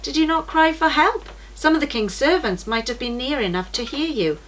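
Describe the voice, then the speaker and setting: A woman's voice: sits high, lively intonation, moderate pace, voice pushed up, rough recording. A woman voicing a kindly, admiring male warrior guide in a children's storybook. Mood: concerned. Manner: concerned questioning and warm praise. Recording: noticeable background noise.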